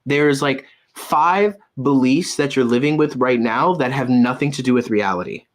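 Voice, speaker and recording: A man giving a casual, intuitive tarot reading, halting and circling back to repeat his phrases. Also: medium pitch. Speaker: tarot reader and medium, host.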